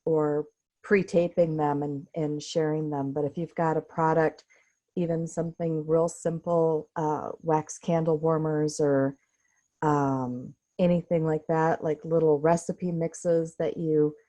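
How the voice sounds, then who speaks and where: A woman's voice: low voice, level tone, unhurried, dark tone. A woman taking part in an online workshop, casually sharing ideas off the cuff. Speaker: workshop participant.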